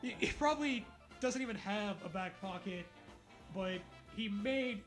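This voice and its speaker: Casting: male comedy reader, high voice, mock-serious delivery with joking asides, soft music behind. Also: unhurried. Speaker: co-host reading a fan fiction aloud. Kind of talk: comedic dramatic reading with asides.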